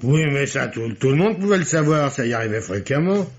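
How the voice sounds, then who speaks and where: A dull, lo-fi radio play recording features a humble male night watchman, acted by a man with a mid-pitched voice. He sounds anxious and deferential, hesitating as he pleads his case. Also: expressive, brisk, close to an everyday voice. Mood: defensive. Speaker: radio drama actor. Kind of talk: French radio mystery acting.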